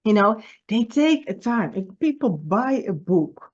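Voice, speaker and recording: A woman's voice: medium pitch, big pitch swings, lo-fi sound. Earnest Dutch-accented woman giving advice. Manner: earnest, halting, repeats words and restarts. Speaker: publisher. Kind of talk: personal video message with writing advice.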